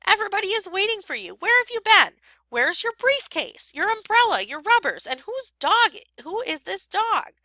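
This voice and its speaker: A woman's voice: very high-pitched, big pitch swings, normal speed, pushed and bright, no echo, voice pushed up, exaggerated voice. A woman reading a story and voicing a flustered wife who shrieks and scolds her husband. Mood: frantic.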